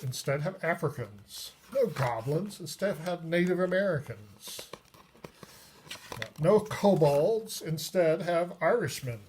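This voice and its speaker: A man giving a casual, unscripted review of a tabletop game book, pausing often mid-sentence. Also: fairly high pitch, a little mellow. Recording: noisy recording with clicks.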